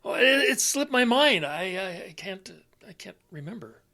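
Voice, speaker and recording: A man's voice: sits high, sing-song, normal speed, pushed and bright, exaggerated voice. An actor playing a confused, anxious elderly father with memory loss in an audio drama, stammering and hesitant. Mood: hesitant, sheepish. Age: older.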